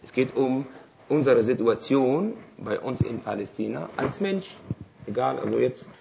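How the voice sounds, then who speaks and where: A man's voice: medium pitch, expressive, measured pace, gravelly, highs cut off, noisy background. A man from Palestine whose first language is Arabic, speaking German as a guest speaker answering audience questions, hesitant, with many fillers and restarts. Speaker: invited speaker.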